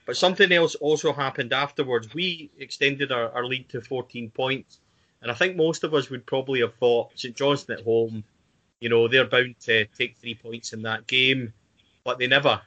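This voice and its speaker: A man's voice: mid-range voice, moderate pace, sharp tone, highs cut off. A man hosting a casual football podcast, steering the talk and bringing in each guest by name. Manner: leads the talk, hands over to guests by name. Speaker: podcast host.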